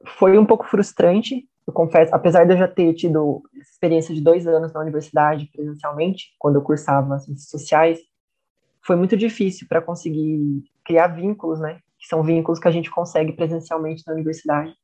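A woman student recounting her university experience in a measured way, often checking in with tag questions. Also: low-pitched, fairly even intonation, dark tone. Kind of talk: testimonial interview.

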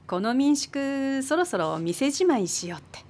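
A woman's voice: high voice, very animated, normal speed. An actress playing a caring, fussing middle-aged guesthouse landlady with a Mikawa dialect from Aichi, in a radio drama. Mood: resigned. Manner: solicitous, gently scolding. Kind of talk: modern radio drama acting.

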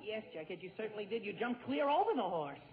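An eager, impatient teenage boy with a high, very animated voice. He exclaims and sighs in exasperation in 1940s radio comedy style. The recording is a muffled vintage broadcast. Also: fast. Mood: exasperated. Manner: exclamatory, exasperated with his friend.

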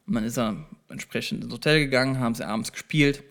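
A man's voice: mid-range voice, fast, vocal fry, fairly bright tone. Man talking straight to the listener. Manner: addresses the listener directly, explaining step by step; conversational with small restarts. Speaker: podcast host on self-improvement. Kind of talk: solo motivational podcast talk.

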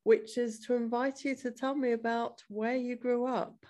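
A woman's voice: a little high, level tone, moderate pace, darkish tone. Woman hosting a podcast interview. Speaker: podcast host.

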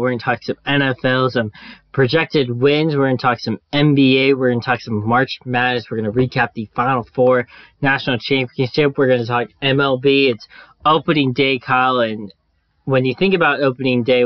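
A man's voice: medium pitch, no echo. A young man hosting a sports podcast, running through the topics in an upbeat, orderly way. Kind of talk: scripted-sounding show hosting. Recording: dry, dull lo-fi sound.

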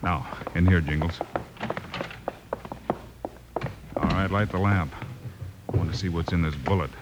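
An actor playing a calm, commanding American marshal hero in an old-time radio Western, firm and unhurried. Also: male voice, deep voice, over loud music, natural voice. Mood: confident. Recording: old radio recording with faint noise.

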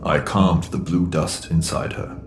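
A very low-voiced, stern alien warrior in a sci-fi audio drama. He speaks formally and tersely, calm and guarded, his deep tone sitting in a long, echoing reverb. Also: unhurried, over music. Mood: quiet, calm.

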